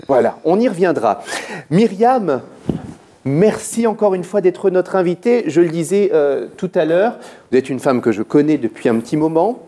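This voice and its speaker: A man's voice: a little high, expressive, moderate pace, mellow. Playful, mock-formal talk show host man.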